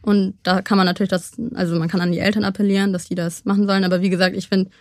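Young woman, interview guest, reflective and measured, middle pitch, dry close mic. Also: flat delivery, dry recording. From Germany. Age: young adult. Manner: thoughtful, measured, frequent hesitations.

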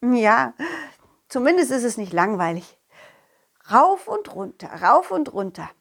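A woman plays a cheerful, confident dog recounting adventures in a children's audio play. The voice sits fairly high and swings widely in melody. Delivery is slow, playful and expressive, as if talking to a companion. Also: gravelly, full character voice. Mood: cheerful, narrating.

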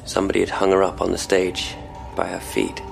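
An actor playing a distraught, sleepless police constable in an audio drama, speaking haltingly and earnestly. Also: male voice, sits low, monotone, gravelly, soft background music. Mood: grim, haunted.

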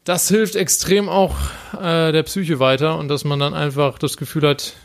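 A man's voice: on the high side, steady tone, moderate pace, vocal fry. A young man chatting casually and reflectively as a podcast co-host, with a dry sense of humor. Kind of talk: casual chat.

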